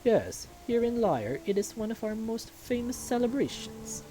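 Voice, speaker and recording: A man voicing a kindly, refined king in a visual novel, speaking in a gracious, formal, measured way. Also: very high-pitched, sing-song, mellow, soft background music, voice pushed up, full character voice. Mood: gracious, pleased. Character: kindly, refined wise king of a fantasy realm.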